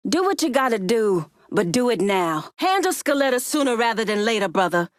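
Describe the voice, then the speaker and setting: An actress voicing a proud, commanding woman crime boss in a video game, with a firm, deliberate and authoritative delivery. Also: a little high, very animated, dry recording, studio quality. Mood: angry, defiant.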